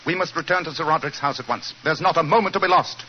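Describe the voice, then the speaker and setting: An actor playing a brilliant, commanding British detective, speaking precisely and with authority in a 1940s radio drama. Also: male voice, fairly high pitch, fast talker, close to an everyday voice. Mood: intent. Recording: muffled, hissy 1940s radio recording.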